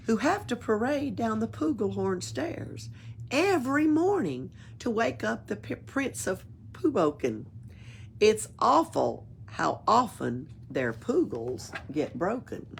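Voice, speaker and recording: A woman reading a children's storybook aloud in an expressive, playful way, adding her own asides. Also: fairly high pitch, slow pace, vocal fry, a little hiss or hum. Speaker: story reader at a library. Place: library.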